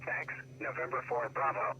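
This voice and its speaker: Gravelly man over a noisy radio. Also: mid-range voice, flat delivery, normal speed, dull audio. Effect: heard through radio receiver. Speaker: amateur radio operators on air. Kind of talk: clipped radio contest exchanges. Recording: noisy crackly shortwave radio audio.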